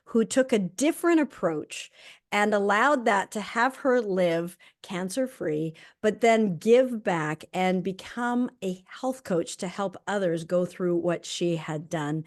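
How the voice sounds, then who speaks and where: Enthusiastic woman interview host. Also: fairly low pitch. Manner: enthusiastic, chatty, with fillers. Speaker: host and doctor. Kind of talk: interview hosting.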